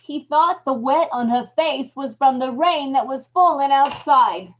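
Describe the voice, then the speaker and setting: Haunted wife confessing murder, female actor, intense and emphatic, old-time radio horror style, slightly muffled lo-fi sound. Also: sits high. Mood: horrified. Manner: intense, emphatic, repetitive storytelling with dramatic pauses. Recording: dull lo-fi live radio-play audio.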